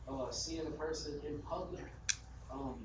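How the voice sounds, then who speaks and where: Casual, slightly high man in an echoey hall. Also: fairly even intonation, unhurried. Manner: casual and hesitant, many "um" and "like". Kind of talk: casual discussion. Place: mosque hall. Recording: very echoey hall from far off, hiss.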